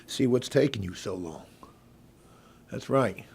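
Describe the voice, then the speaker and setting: A gruff, middle-aged convict who rules the prison kitchen, acted out by a man for an ASMR role play. His voice is mid-pitched, full of gravelly fry and husky rasp. He talks in a folksy, bossy, intimidating way, like a lifer laying down the rules. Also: darkish tone, exaggerated voice. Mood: sardonic, warning. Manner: folksy, intimidating, drawn-out menacing delivery. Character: gruff, bossy veteran male prison inmate running the kitchen.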